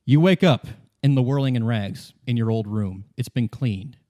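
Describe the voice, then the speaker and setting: A man's voice: medium pitch, moderate pace, mellow. A man running a tabletop game, improvising narration in a casual second-person storytelling way. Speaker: game master.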